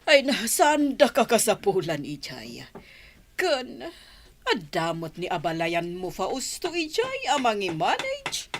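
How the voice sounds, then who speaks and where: A woman's voice: mid-range voice, wildly swooping pitch, slow pace, exaggerated voice. A woman playing a chummy, talkative middle-aged in-law in an Ilocano radio drama. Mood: chummy, amused.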